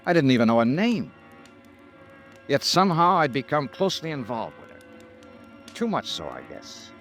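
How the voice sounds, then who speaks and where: An actor with an American accent playing a tough, dogged, wry insurance investigator in a 1950s radio detective drama. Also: male voice, mid-range voice, sing-song, moderate pace, fairly bright tone, soft background music. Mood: haunted.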